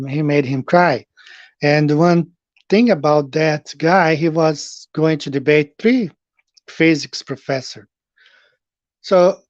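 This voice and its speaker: A man's voice: fairly high pitch, no echo. A middle-aged man from Brazil with a Brazilian Portuguese accent, chatting casually on a live stream and answering viewer comments. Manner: chatty, restarts a lot, calls out to viewers. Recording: dry, dull lo-fi stream audio.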